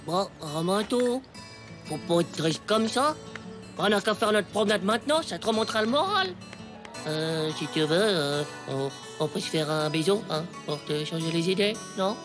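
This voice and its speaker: A performer playing a cocky, excitable ten-year-old boy in a French cartoon, with brash, whiny, exclamatory delivery. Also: medium pitch, normal speed, lightly acted. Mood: sheepish, coaxing. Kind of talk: French animated cartoon dialogue. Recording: music playing behind the voice. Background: cartoon background music.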